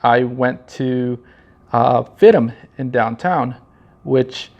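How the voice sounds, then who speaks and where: A man from Los Angeles County talks casually and reflectively about himself, halting often and repeating his phrases. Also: mid-range voice, darkish tone. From Los Angeles County, California. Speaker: vlog host and marketing director. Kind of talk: casual personal monologue, storytelling. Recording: slightly dull, lo-fi sound.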